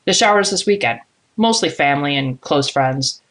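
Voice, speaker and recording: Woman voicing a low, friendly, disarming young man. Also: moderate pace. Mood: friendly, slightly nervous. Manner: calm, reassuring, casual. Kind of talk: audiobook character dialogue.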